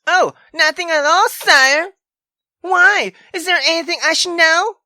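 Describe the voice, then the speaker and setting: A jealous, drunken wife in a visual novel, played by a woman, high and piercingly bright. She is furious and sarcastic, throwing accusations in a shrill voice. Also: unhurried, dry recording, clean studio sound, heavily acted. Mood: sarcastic, accusing.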